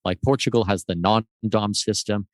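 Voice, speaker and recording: Male guest expert, measured explainer, lowish voice, dry close sound. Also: fairly even intonation, no room sound. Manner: explanatory, measured, gives lists of examples. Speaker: guest expert on residency and tax. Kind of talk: casual interview answers.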